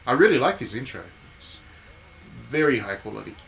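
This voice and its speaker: A man's voice: mid-range voice, a little fast, gravelly, soft background music. A man giving casual live commentary as he streams a game, rambling and thinking aloud. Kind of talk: casual live commentary on a visual novel playthrough. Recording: steady background noise.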